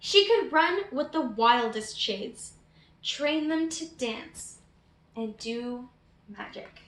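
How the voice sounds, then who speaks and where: High-voiced woman reading a children's storybook, roomy sound. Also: animated, takes it slowly, fairly bright tone, a little hiss or hum. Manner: prompts young listeners with questions. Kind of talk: expressive read-aloud for young children.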